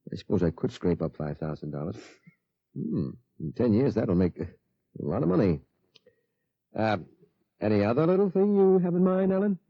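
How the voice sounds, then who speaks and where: A man's voice: mid-range voice, big pitch swings, moderate pace, pauses often, dry recording. A man acting in a 1950s radio drama as an anxious, doubtful middle-aged father, hesitant and easily flustered. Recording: muffled, dry 1950s radio recording.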